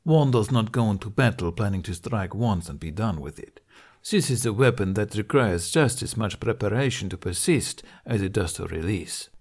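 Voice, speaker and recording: A man's voice: on the low side, lively intonation, normal speed, a little mellow, husky. A man narrating a storybook puts on an older Hungarian master archer, stern and earnest. He speaks solemnly and formally, stressing his points like a teacher who expects to be obeyed. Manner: solemn, emphatic, formal phrasing.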